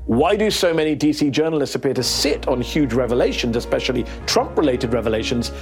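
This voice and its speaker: A man's voice: medium pitch, expressive, brisk, soft background music, close to an everyday voice. A man playing a news reporter, reading scripted narration in a satirical news show. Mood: inquiring.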